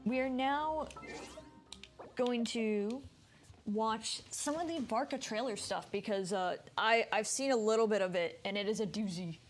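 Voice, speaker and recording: A woman's voice: fairly high pitch, a little slow, faint music behind. Casual, rambling woman game streamer. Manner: rambling, informal, thinking aloud. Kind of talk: casual livestream chat.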